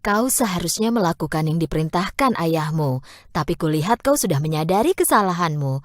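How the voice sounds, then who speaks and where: Woman as a soft, low-toned chiding fairy. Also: animated, brisk, no room sound, clean studio sound, close to an everyday voice. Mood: gently chiding. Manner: soft, admonishing. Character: gentle, chiding female fairy.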